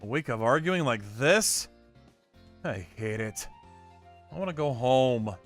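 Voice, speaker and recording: A bickering anime teenage boy, acted by a man grumbles in a medium-pitched voice. His intonation swings widely as he plays put-upon and resigned, with faint music behind the romcom dub. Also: expressive, measured pace, close to an everyday voice. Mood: fed up. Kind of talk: anime-style manga dub voice acting.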